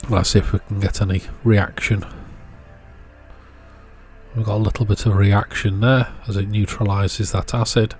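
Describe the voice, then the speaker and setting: A man's voice: lowish voice, level tone, moderate pace, a little mellow, soft background music. A man giving casual, hands-on repair narration as a video host, in a matter-of-fact, understated way.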